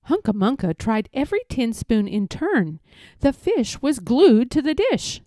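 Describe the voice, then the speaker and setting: A woman reading a children's story aloud in an expressive, measured, emphatic storytelling manner. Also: fairly high pitch, no echo, studio quality. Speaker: storybook reader.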